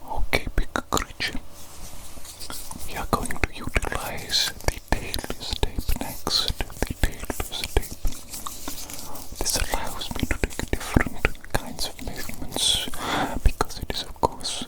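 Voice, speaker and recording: A woman whispering in character as a smug, self-praising evil doctor, softly repeating her phrases in a slow, teasing way. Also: natural voice, low-quality recording. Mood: smug clinical. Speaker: ASMR roleplay creator. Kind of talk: ASMR whispered roleplay.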